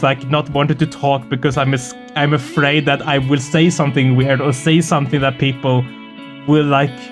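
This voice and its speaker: A game streamer with an informal, candid, somewhat hesitant way of talking, chatting casually and reading visual novel text aloud. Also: medium pitch. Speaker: host of a visual novel playthrough channel.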